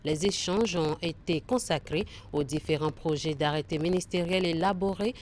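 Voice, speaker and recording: A woman's voice: deep voice, moderate pace, a little mellow, slight background noise. A woman presenting scripted television news.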